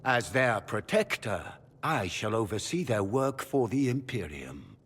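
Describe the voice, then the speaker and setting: An actor playing a suave, calculating male magister with a husky voice and courtly, theatrical manners. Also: fairly low pitch, very animated, unhurried, a bit bright, slight background noise, heavily acted. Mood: smooth, calculating. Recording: long hall reverb.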